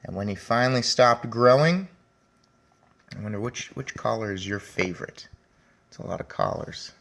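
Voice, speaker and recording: A man reading a children's story aloud as a teacher, speaking deliberately and addressing young listeners directly. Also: fairly low pitch, creaky, fairly bright tone. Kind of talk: children's read-aloud with commentary.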